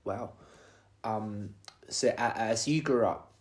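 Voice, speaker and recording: A man's voice: lowish voice, slow pace. A young man hosting a podcast interview, friendly and chatty, often laughing lightly between questions. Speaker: podcast host. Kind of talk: casual interview.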